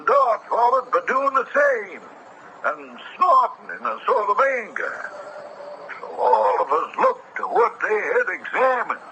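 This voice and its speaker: An actor playing an emphatic, deferential old-time gentleman with a formal manner in a radio drama. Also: extremely high pitch, lively intonation, a little slow, creaky, dull audio, heavily acted. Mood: earnest. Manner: formal, emphatic, punctuated with "sir". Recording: noisy vintage radio drama broadcast.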